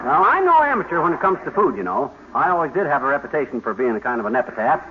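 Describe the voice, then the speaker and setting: A blustering show-off husband on 1950s radio. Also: male voice, fairly high pitch, big pitch swings, fast talker. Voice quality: blustery, malaprop-prone. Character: boastful bumbling middle-aged husband, eager show-off. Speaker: radio sitcom lead. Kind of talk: 1950s radio sitcom acting. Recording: tinny hissy 1950s radio broadcast.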